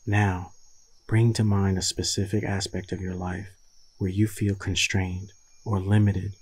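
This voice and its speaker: A man leading a guided meditation in a soothing, deliberate manner with long pauses and a husky voice. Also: low-pitched, flat delivery, a little mellow, dry recording.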